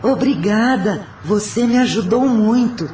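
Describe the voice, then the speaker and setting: A man's voice: sits high, a little slow, darkish tone, reverberant, distant mic, slight background noise, pitched above the performer's own voice. A man voicing a desperate, timid young Brazilian country girl in an audio story. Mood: pleading.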